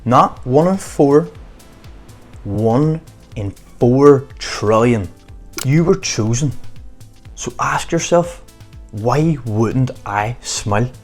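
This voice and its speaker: A man's voice: mid-range voice, animated, extremely slow, long pauses, soft background music. A man giving an earnest motivational talk to camera in short, emphatic phrases. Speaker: motivational vlogger.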